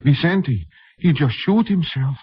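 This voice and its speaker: A man's voice: a little high, lively intonation, a little slow, husky, heavily acted. Casting: panicked, anguished townsman, raised voice, broken English, vintage 1950s radio sound. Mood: panicked, distraught. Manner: urgent, simple broken-English phrasing. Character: frantic, anguished young townsman bearing terrible news. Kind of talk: 1950s radio drama acting. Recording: dull vintage radio recording, faint noise.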